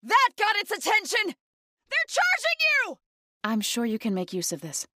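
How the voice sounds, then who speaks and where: Intense, bright-voiced young warrior woman. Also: extremely high pitch, huge pitch swings, normal speed, no room sound, studio quality, full character voice. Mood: earnest, alert. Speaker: video game voice actor. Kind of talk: scripted game combat barks and companion lines.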